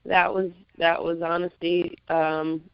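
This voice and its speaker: Laid-back woman, clear smooth voice. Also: fairly low pitch, level tone, slow, dry recording, dull audio. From Miami, Florida. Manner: laid-back, laughs often, hesitant with fillers. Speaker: magazine publisher, guest. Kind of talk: interview answers.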